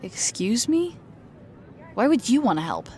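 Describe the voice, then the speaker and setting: A woman playing a feisty, suspicious teenage girl in an audio drama, sarcastic and sharp-tongued. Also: on the high side, sing-song, unhurried, a little hiss or hum. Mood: incredulous. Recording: faint outdoor street ambience behind.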